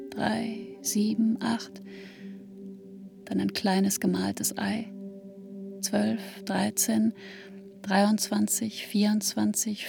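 Casting: woman yearning for a child, introspective radio play monologue, slow, with creaky edges. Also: mid-range voice. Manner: measured, hushed, addressing the listener as "you". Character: woman longing for a child, introspective, anxiously counting days. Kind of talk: literary radio drama monologue.

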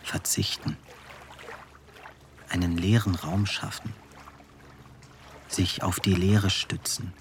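Deep, solemn reciting man, watery noise. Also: flat delivery, takes it slowly, long pauses. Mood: solemn, contemplative. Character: solemn male voice reciting philosophical fragments. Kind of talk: poetic radio play recitation. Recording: loud watery ambient noise behind.

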